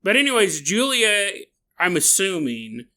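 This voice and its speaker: Man, podcast host, jokey storyteller, sharp bright tone, swooping lively pitch. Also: a little slow. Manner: jokey, laughs often, acts out side remarks. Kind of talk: comedic paranormal storytelling.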